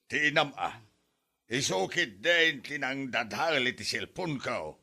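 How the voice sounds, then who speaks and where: A man's voice: mid-range voice, lively intonation, a little slow, vocal fry, sharp tone, full character voice. A man playing a henpecked, exasperated elderly husband in an Ilocano comedy drama, whining and protesting in an Ilocano Filipino accent. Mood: aggrieved. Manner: whining, protesting, stammered yelps.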